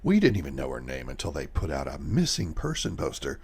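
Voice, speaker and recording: A man playing a callous, defensive accomplice in a radio mystery drama, gravelly and insistent. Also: rumbling low, huge pitch swings, a little fast, darkish tone, heavily acted. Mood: dismissive. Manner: dismissive, insistent. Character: callous, defensive male accomplice hiding a crime.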